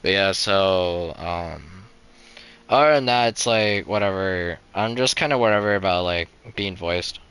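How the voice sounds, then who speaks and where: A young man with a low, bright voice chats casually while live-streaming a game. His sound is crisp and clean. He rambles, restarts his sentences and fills them with "like" and "you know", in a flat, resigned tone. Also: a little slow.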